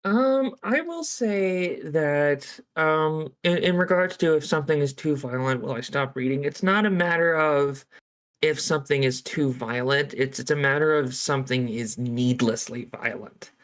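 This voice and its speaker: A man giving casual script feedback, halting and repeating words as he thinks aloud. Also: medium pitch, fairly bright tone. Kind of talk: casual opinion.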